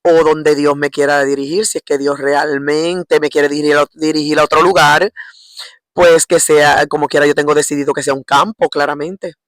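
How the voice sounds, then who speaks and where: A middle-aged woman with a Puerto Rican accent preaching informally in a halting, repetitive way, often checking for agreement with short tag questions. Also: low voice, level tone, no room sound. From Puerto Rico. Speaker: lay preacher. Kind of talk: informal religious exhortation.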